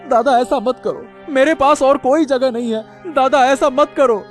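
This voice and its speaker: A man's voice: very high voice, measured pace, soft background music. A man voicing a meek, devoted and helpless younger brother in a cartoon story, repetitive, stammering and pleading. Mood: desperate pleading. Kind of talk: Hindi moral-story cartoon dubbing.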